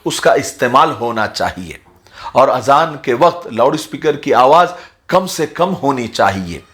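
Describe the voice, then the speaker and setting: A man giving an earnest, measured address to his viewers as a religious motivational speaker, in formal wording. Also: mid-range voice, animated, a bit bright. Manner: measured, appealing, formal vocabulary.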